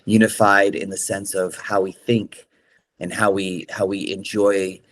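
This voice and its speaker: A man's voice: lowish voice, flat delivery, unhurried, fairly bright tone. A man from California, a singer-songwriter answering interview questions in an earnest way, with many fillers and restarts.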